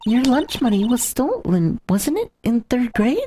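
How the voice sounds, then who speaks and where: A woman voicing an excitable, caring young female legal assistant in a video game, emotive and slightly stumbling. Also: fairly high pitch, measured pace, slight background noise. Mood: curious. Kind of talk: reading visual novel game dialogue aloud. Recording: faint music behind the voice.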